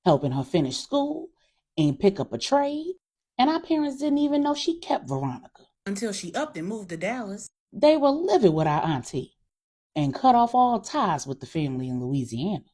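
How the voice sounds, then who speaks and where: A woman's voice: medium pitch, very animated, normal speed, darkish tone, husky. A woman voicing a frank older aunt in an audio story, folksy and emphatic as she reminisces. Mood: frank, disapproving. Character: older Southern family aunt, frank, gossipy, sympathetic.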